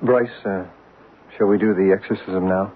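A man in a 1970s radio drama playing a calm, authoritative ghost hunter and medium. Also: deep voice, moderate pace, close to an everyday voice. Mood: matter-of-fact. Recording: tinny noisy vintage radio broadcast.

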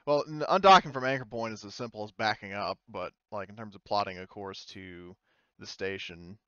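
A man's voice: fairly low pitch, a little fast, bright, forceful tone, smooth, no room sound, muffled recording. A man acting as game master, informally narrating and explaining an online tabletop roleplaying game with hesitant phrasing.

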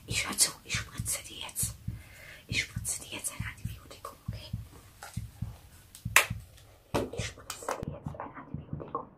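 A woman's voice: normal speed, close to an everyday voice. Woman acting a whispering, caring apocalypse survivor. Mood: soothing, urgent. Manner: soothing, hushed, urgent. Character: caring female survivor tending a wounded companion. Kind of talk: whispered ASMR roleplay.

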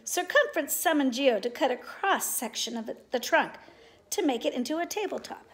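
A middle-aged woman reading a children's storybook aloud in an expressive, deliberate way. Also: sits high, slight background noise. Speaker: storybook reader. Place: indoors, living room at home.

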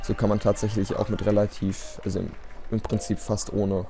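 A man's voice: fairly low pitch, little pitch movement, normal speed, gravelly, warm tone. A man giving casual, self-deprecating gameplay commentary in a resigned, rambling way. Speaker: let's play commentator. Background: game music.

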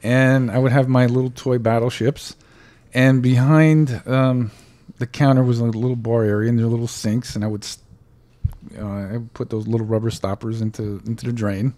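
Podcast co-host, male, warm mellow tone, animated storyteller who laughs a lot. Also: medium pitch, moderate pace. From United States. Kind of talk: casual chat.

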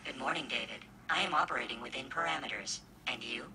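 A man's voice: medium pitch, fast, natural voice. A man playing a polite, literal computer assistant in a video game, formal, precise and emotionless. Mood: formal, neutral. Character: polite, literal artificial intelligence test assistant.

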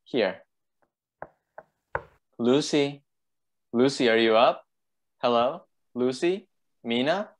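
A man playing a worried, polite gentleman doctor in a radio play. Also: medium pitch, takes it slowly, pauses often, natural voice. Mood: concerned.

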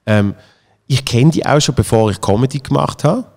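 A man in his thirties with a Swiss German accent, hosting a casual podcast chat and telling anecdotes with frequent restarts, on a studio boom mic. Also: expressive, normal speed, vocal fry, darkish tone, long reverb tail. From Switzerland. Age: 30s. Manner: chatty, anecdotal, restarts often. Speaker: podcast host. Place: bright studio room. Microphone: boom-arm studio mic.

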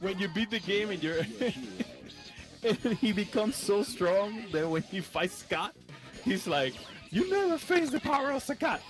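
A man with a Cuban and Dominican accent co-commentates in easygoing, laughing banter. His voice sits a little high, with game music playing clearly behind. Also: very animated, measured pace. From Venezuela. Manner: laid-back, laughing banter. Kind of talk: casual fighting game commentary. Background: video game music.

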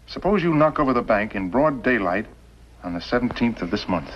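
A middle-aged man playing a scheming, bitter outlaw boss in a 1950s TV western, speaking with sardonic, deliberate intensity. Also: mid-range voice, lively intonation, slightly rough. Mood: calculating. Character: scheming, bitter outlaw boss posing as mild telegraph operator. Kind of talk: 1950s television western acting. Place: small wooden cabin set, indoors. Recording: muffled, noisy old 1950s TV soundtrack.

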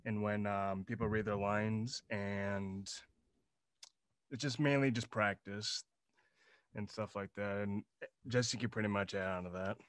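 A man's voice: on the low side, fairly even intonation, clear, resonant tone, no echo. A man giving a hesitant, casual answer on a video call as a sound team member. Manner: hesitant, halting.

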